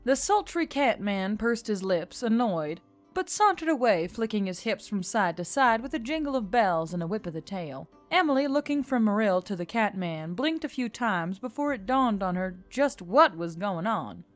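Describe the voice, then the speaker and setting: A woman's voice: medium pitch, big pitch swings, moderate pace. A woman reading scripted fantasy fiction aloud as the narrator. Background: faint music.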